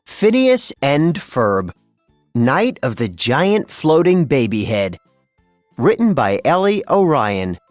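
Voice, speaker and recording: A narrator reading a children's read-along storybook in an expressive storytelling manner. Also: medium pitch, takes it slowly, no room sound, studio quality, faint music behind.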